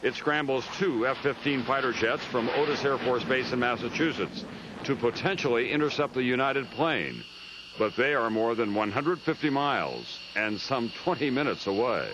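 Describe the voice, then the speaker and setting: An American man narrates a news documentary from a script. His voice sits at an average male pitch, and there is clear noise and ambience behind him. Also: animated, normal speed, muffled recording.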